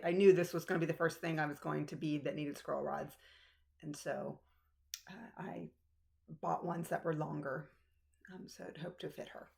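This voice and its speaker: A woman's voice: lowish voice, creaky. A woman chatting casually to camera about her cross stitch, rambling with ums and restarts, recorded on a tablet's built-in mic in a room at home. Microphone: tablet's built-in mic, no external microphone.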